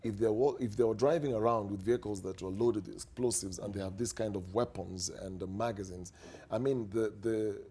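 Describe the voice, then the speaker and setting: Low, mellow male news anchor. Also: steady tone, breathy edge. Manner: measured questioning with frequent fillers. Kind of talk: live TV news interview.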